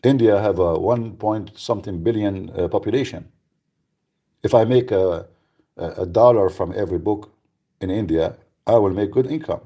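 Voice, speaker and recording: A man's voice: on the low side, moderate pace, warm tone, husky. A man hosting a live radio talk, speaking bluntly and emphatically, with frequent repeats and restarts. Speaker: radio show host.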